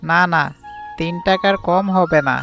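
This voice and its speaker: A woman acts out an older male market trader in a kids' cartoon. The voice is on the low side and plainly bargaining. Prominent music and birdsong play behind. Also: steady tone, measured pace. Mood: haggling. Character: older market fish-fry seller, haggling uncle. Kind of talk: children's cartoon moral story dialogue.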